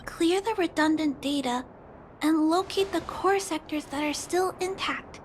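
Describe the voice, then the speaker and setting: A kind-hearted young girl speaks in a very high, soft voice, sincere and a little hesitant. Game music plays clearly behind her. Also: unhurried. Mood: pleading. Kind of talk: game cutscene voice acting. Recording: studio dub with music underneath.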